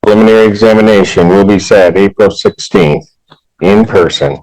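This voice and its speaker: A man's voice: lowish voice, normal speed, vocal fry, darkish tone, rough recording. A male judge presiding over a court hearing, speaking briefly and informally.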